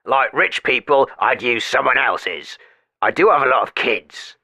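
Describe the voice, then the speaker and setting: A man's voice: mid-range voice, animated, measured pace, sharp tone, dry recording, exaggerated voice. A man voicing a dim-witted, hesitant working-class caller in a comedy radio sketch. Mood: dopey.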